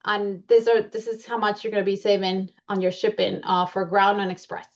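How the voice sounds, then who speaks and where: A woman's voice: mid-range voice, flat delivery, fairly quick. Woman, Colombian accent, chatty presenter, frequent fillers, slightly dull recording. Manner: chatty, many fillers and restarts. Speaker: membership presenter. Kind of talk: presentation walking through slides.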